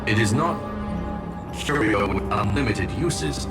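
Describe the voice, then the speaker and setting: A man's voice: lowish voice, expressive, slow. An actor voicing a composed, erudite man in a video game, speaking in formal, precise phrases. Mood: formal, instructive. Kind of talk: scripted game dialogue. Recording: prominent music under the voice. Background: loud background music.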